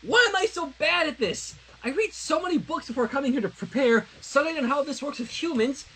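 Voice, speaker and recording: A man's voice: very high-pitched, animated, fairly quick, a bit bright, pitched above the performer's own voice, heavily acted, low-quality recording. A man voicing a flustered young pony princess in human form, rambling anxiously and earnestly in a dramatic fanfiction reading. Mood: frantic, exasperated. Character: flustered young female pony princess turned human, nervously confessing love.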